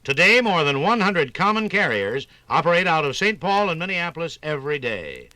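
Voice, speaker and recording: A man's voice: a little high, big pitch swings, normal speed, pushed and bright, no echo. A man narrating a scripted 1950s radio documentary in an emphatic, polished announcer's delivery. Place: radio studio.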